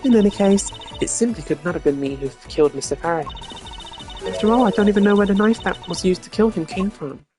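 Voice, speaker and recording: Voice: medium pitch, fast talker, over music, pitched above the performer's own voice, natural voice. A streamer voicing a smug, mocking young woman suspect from a video game, teasing, dismissive and theatrical. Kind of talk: video game character voice acting.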